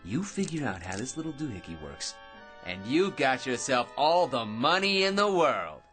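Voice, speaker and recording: A boastful, excitable schemer from an old-time radio play. He wheedles in slangy patter with big swings of pitch. Music runs underneath. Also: normal speed. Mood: pushy. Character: cocky, excitable working-class dreamer man with grand schemes.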